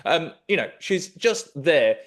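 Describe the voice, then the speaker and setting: A man's voice: a little high, sing-song, slow pace, a bit bright. A man hosting an interview podcast in a gushing, enthusiastic, chatty style. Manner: gushing, effusive. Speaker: podcast host. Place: home kitchen, indoors. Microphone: desk microphone, close.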